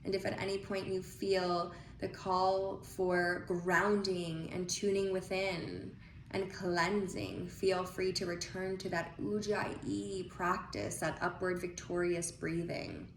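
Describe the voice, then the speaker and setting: Slow-paced woman guiding meditation in echoey room. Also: fairly low pitch. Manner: soothing, unhurried, with long pauses between phrases. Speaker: guided meditation leader. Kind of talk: guided visualization, breathing instruction. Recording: echoey room with faint noise.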